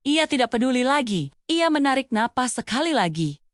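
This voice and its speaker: A woman's voice: high-pitched, very animated, moderate pace, dry recording, studio quality. A woman narrating a scripted children's fable as a storyteller.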